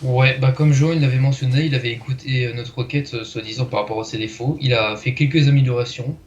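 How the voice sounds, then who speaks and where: A man's voice: mid-range voice, fairly even intonation, fast talker. A man co-hosting a video review with casual, conversational commentary. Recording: dull, lo-fi microphone sound.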